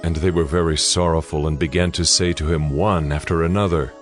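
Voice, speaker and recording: A male narrator with a low voice reads scripture as formal, measured storytelling. Music plays clearly behind him. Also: steady tone.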